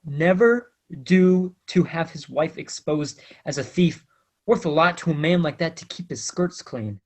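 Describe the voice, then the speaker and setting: An actor playing a smug, menacing small-time blackmailer in an old-time radio crime drama, talking in taunting slang. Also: male voice, a little high, animated, a little slow, darkish tone.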